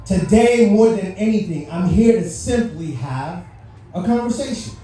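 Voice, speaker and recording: A man's voice: high voice, animated, a little slow, dark tone. A middle-aged man from Haiti, a native French speaker, giving a motivational speech to live listeners with questions and playful asides. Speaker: guest speaker at a civic event. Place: large echoey venue with live audience. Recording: echoey venue, distant mic, faint noise.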